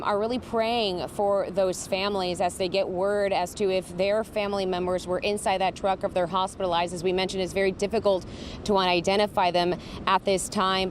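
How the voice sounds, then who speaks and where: Woman news reporter doing a live standup outside, steady and measured, a bit of traffic hiss behind. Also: medium pitch. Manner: steady and measured, briefing viewers on the latest.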